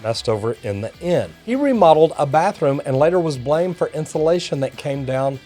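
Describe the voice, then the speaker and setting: Higher-voiced Texan man, folksy video host. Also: expressive, fairly quick, dark tone, faint music behind. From Texas, United States. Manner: folksy, addresses viewers as y'all and guys. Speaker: master plumber and video host. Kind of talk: reading comments aloud and reacting, to camera.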